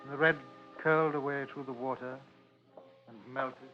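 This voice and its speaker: A grave storyteller, played by a male radio actor, narrates with measured solemnity in a medium-pitched voice. The old recording is dull, with faint music underneath. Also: fairly even intonation, lightly acted. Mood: somber. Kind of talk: scripted radio drama narration.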